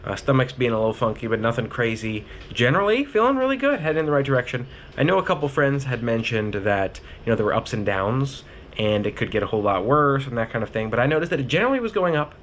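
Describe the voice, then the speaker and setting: A man's voice: medium pitch, animated, fast talker, few pauses, a bit bright, a little hiss or hum. A man with a stuffy nose, talking in an upbeat, joking way in a casual video diary. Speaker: vlogger. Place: inside a car. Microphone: phone mounted on the steering wheel.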